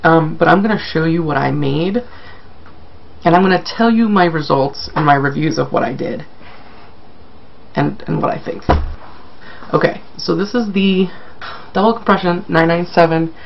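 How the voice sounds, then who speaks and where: Male vlogger, sick and very creaky, self-deprecating casual chat, slightly dull home recording with background noise. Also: a little high, moderate pace, long pauses. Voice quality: croaky. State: sick, croaky. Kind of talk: casual video update to viewers.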